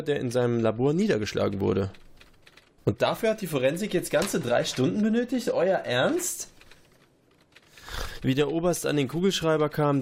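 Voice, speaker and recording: A man's voice: mid-range voice, gravelly, a little mellow. A man reading a report aloud during a tabletop game, breaking off for dry sarcastic remarks. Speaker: player reading a forensic report.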